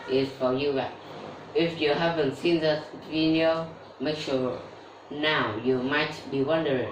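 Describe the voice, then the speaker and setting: A woman talks slowly and steadily through a scripted English-learning tutorial. She sounds set back from the mic in an echoey room, with a faint hum underneath. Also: extremely low pitch. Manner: steady explanatory delivery. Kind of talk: scripted tutorial with sponsor read. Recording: roomy echo, distant mic, faint background noise.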